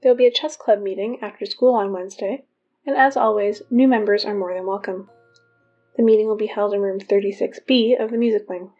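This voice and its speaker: A woman's voice: mid-range voice, fairly even intonation, a little fast, mellow, close to an everyday voice. Actress playing exasperated female school announcer, dry and wry, audio drama, dull lo-fi PA sound, music behind. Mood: brisk, official. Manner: dry, wry, matter-of-fact asides. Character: exasperated woman reading school morning announcements in an eerie town. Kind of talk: fiction podcast performed as PA announcements.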